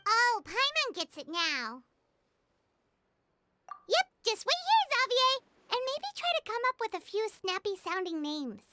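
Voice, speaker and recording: Super high squeaky little fairy sidekick for a game, excitable, bouncing all over. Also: female voice, unhurried, background noise, exaggerated voice. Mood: excited, cheerful. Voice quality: squeaky, childlike. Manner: speaks of herself in the third person, exclamatory. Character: tiny floating fairy companion, childlike, excitable, chirpy. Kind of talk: English video game voice acting. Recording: loud music behind.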